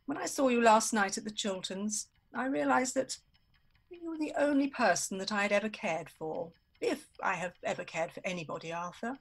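A woman's voice: medium pitch, moderate pace, vocal fry. A woman acting a witty, flirtatious, scheming society woman in a radio play, arch and languid. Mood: coaxing, amused.

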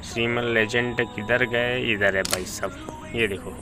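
A man's voice: medium pitch, steady tone, a little slow. A man giving casual, chatty gameplay commentary, talking to viewers like brothers. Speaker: gaming video creator. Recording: loud music behind the voice. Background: loud music.

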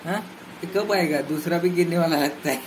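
A man's voice: a little high, brisk. Fields: Sex: man. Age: 30s. Style: playful family vlog. Mic: phone at arm's length. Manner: playful, teasing, chatty. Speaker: vlogger and father. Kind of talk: casual family vlog chat. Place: small home room indoors. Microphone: phone held at arm's length. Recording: phone recording with clear background noise.

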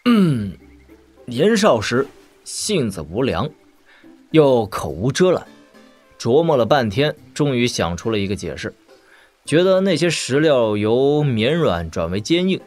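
An actor playing a cheeky, curious young lord in a martial-arts audio drama, with a conversational, teasing manner. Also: male voice, medium pitch, very animated, unhurried, a little mellow, soft background music. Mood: sheepish, joking.

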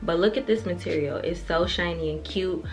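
A woman's voice: on the low side, moderate pace. A young woman vlogging a fashion try-on in a bedroom, chatting casually and candidly with slang and swearing. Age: young adult. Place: carpeted bedroom indoors. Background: music.

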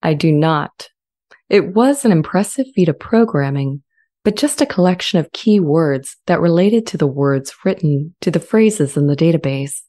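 A friendly, self-aware artificial intelligence voiced by a woman in a scripted dramatization. The voice sits low and is soft-edged and mellow. Delivery is measured, articulate and earnest, close on a studio mic. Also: animated. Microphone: studio condenser mic with pop filter, close.

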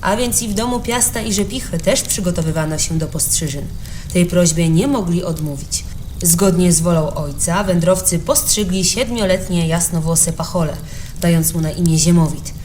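Low-pitched woman narrating a children's legend. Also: normal speed, darkish tone, slight background noise. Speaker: storybook narrator.

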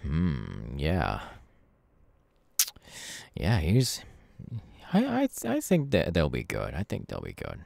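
A man playing a demon's masked henchman in an ASMR horror roleplay, chatty and halting, with mock-soothing menace. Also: rumbling low, wildly swooping pitch, vocal fry, darkish tone, no echo, exaggerated voice. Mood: smug, teasing. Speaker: ASMR voice actor.

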